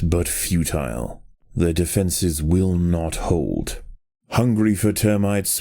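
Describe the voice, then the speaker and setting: A man delivering scripted nature documentary style narration for a video game, in a measured, solemn and dramatic way. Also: low voice, warm tone, no echo, studio quality.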